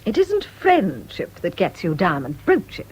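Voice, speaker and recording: A woman's voice: on the low side, wildly swooping pitch, a little slow, a little mellow, husky, heavily acted. A woman playing an accusing, resentful nurse in a radio drama, insistent, emphatic and grimly righteous. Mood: sour.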